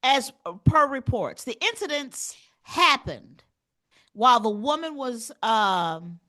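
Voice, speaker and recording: A woman's voice: on the high side, big pitch swings, slow pace, pushed and bright. A woman co-hosting a comedy show, reading news items aloud and riffing on them playfully. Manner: reads headlines then riffs, playful. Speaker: comedy podcast co-host. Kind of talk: reading news stories with comic asides.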